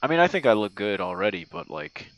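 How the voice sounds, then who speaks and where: A man's voice: mid-range voice, big pitch swings, quick pace, gravelly, fairly bright tone, dry recording, rough recording. A man recording a casual ASMR-style voice message to a friend, rambling and hesitant in an informal way. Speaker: content creator recording an audio message. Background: crickets and night insect sounds.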